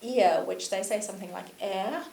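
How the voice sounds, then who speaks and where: A South African woman, a business consultant, telling her story thoughtfully in a relaxed interview. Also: mid-range voice, normal speed, vocal fry. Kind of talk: casual interview chat. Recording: echoey room with faint hiss.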